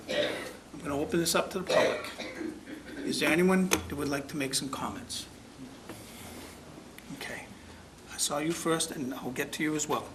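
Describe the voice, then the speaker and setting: Folksy man chairing a town meeting. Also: fairly high pitch. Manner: folksy, rambling, plainspoken. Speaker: commission chairman. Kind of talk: public meeting remarks. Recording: noisy public-access meeting recording.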